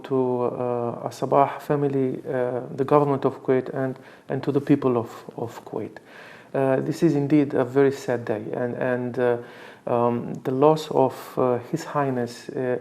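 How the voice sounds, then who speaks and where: Halting, mellow-voiced man from Romania. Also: mid-range voice, vocal fry. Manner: halting, hesitant, formal, repeats words. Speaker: diplomat, interviewee. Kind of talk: spoken tribute in an interview.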